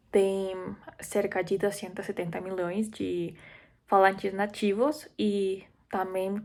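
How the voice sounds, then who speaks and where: A young woman speaks Portuguese in a steady, even tone. Her pitch barely moves, and she often pauses on "eh" as she works through her thoughts. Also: gravelly. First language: Spanish. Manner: steady, with many "eh" fillers. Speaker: university student. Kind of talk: class video talk.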